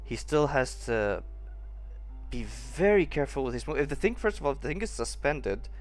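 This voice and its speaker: Male game streamer, medium pitch, casual commentary, sceptical, halting delivery, faint music behind. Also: animated. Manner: sceptical, halting, thinking aloud. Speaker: gaming streamer reacting to a playthrough.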